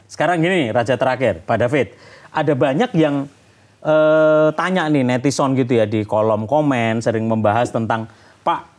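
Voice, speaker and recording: A man's voice: medium pitch, moderate pace. A man hosting a casual educational show, with an informal, slangy, bantering way of talking. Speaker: host.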